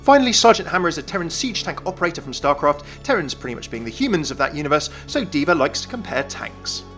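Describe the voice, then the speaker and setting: Brisk, animated man, higher-pitched. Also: soft background music. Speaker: YouTube gaming presenter. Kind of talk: scripted video narration.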